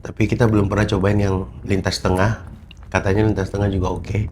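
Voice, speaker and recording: Low-voiced man chatting casually. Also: brisk, slight background noise. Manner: relaxed, rambling with many fillers. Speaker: vlog host. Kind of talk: casual chat.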